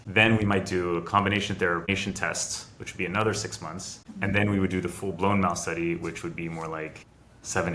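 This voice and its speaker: Middle-aged guy from france on a video call, real low voice but bright and punchy, goes through steps one by one, bit of hiss. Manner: explains at length in step-by-step runs, with restarts. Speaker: biotech founder and guest. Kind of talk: casual video interview.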